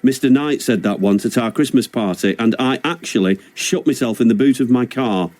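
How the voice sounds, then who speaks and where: An actor playing a simple, modest handyman who is earnest, plain-spoken and deadpan. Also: medium pitch, moderate pace, close to an everyday voice. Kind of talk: sitcom acting.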